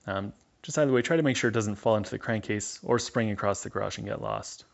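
A man's voice: fairly low pitch, fast talker, gravelly, highs cut off. A man giving a casual, matter-of-fact instructional voice-over as a hands-on mechanic.

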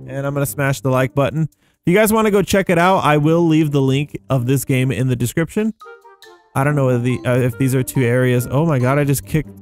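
A man's voice: mid-range voice, a little fast, a little mellow. A young man hosting a gaming video with casual, rambling commentary, thinking aloud in slangy language. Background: background music.

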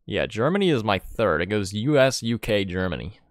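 Low-pitched, creaky, lively man. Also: a little fast, no room sound, clean studio sound. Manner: informal, playful. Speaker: streamer and gamer. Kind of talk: casual chat while gaming.

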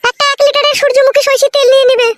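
A woman voicing a bossy, fussing, sharp-tongued young village wife in a comedy cartoon, with a rural West Bengal accent. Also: very high-pitched, little pitch movement, very fast, no echo. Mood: scolding. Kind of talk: comic cartoon dialogue.

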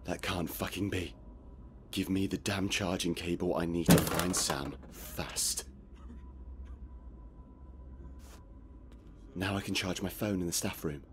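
Low-voiced, anxious trapped man played by an actor. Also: fairly even intonation, normal speed, noisy background, close to an everyday voice. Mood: frustrated. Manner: muttering to himself, swearing. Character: anxious, frustrated man trapped in a dark subway. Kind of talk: video game voice acting, inner monologue.

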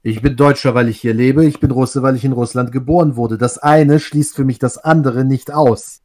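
A man talks through his views in a relaxed online discussion. He is thoughtful and often starts sentences over, at a middling pitch. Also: normal speed. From Russia. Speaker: discussion guest. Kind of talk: casual group chat.

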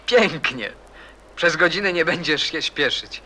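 A man's voice: on the high side, animated, unhurried, bright, forceful tone, heavily acted. A man playing a moody, teasing teenage boy in a radio play, nonchalant, laconic and secretive. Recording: noticeable background noise and ambience.

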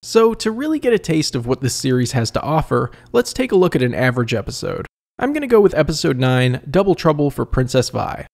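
A man narrating a scripted comedic review as host, in an expressive, wry and conversational manner. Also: fairly high pitch, brisk, gravelly. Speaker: video essay host and reviewer.

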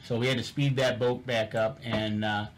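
A man's voice: mid-range voice, level tone, normal speed. A man casually recounting a fishing trip over photos, rambling with many fillers and repeated words.

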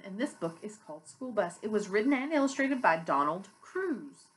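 A woman's voice: medium pitch, a bit bright, a little hiss or hum. An American woman in her thirties, a preschool teacher reading a picture book aloud to young children in a sing-song, emphatic way. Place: small indoor room with painted block walls.

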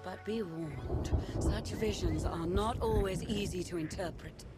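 An actress playing a solemn, mysterious fortune teller in a video game, speaking with reverent, dramatic pauses. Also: female voice, lowish voice, lightly acted. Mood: mystical, wistful. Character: mysterious solemn female fortune teller reading visions from skulls. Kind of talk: theatrical video game character acting. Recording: loud music under the voice.

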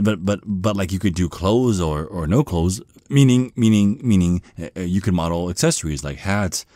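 A man playing a shy, flustered young male vampire on a first date in an ASMR roleplay, speaking with nervous stammers and awkward, halting rambles. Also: deep voice, a little mellow, no echo, natural voice.

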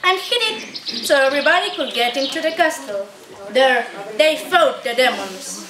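A woman's voice: high voice, animated, moderate pace, crowd noise. A teenage young woman narrates a scripted story. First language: Spanish or Galician. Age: teens. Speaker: student narrator of a puppet show. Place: indoor library-like room at a day care centre. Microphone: distant camera mic. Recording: echoey room, clear background noise.

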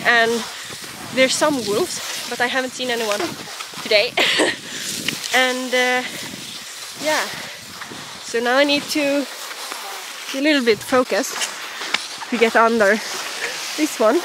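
Giggly Swedish woman vlogging on skis. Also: high-pitched. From southern Sweden. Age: young adult. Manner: giggly, chatty, halting English with fillers. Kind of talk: casual vlog while cross-country skiing. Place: snowy forest trail outdoors. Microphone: camera on a ski pole at arm's length. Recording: outdoor wind and pole scratch noise.

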